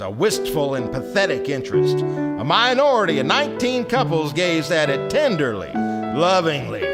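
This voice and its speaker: A man performing as a wry storyteller, narrating in deliberate theatrical phrases for a live radio play. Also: high-pitched. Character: wry storyteller narrating a small-town satire. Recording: live stage radio recording with music.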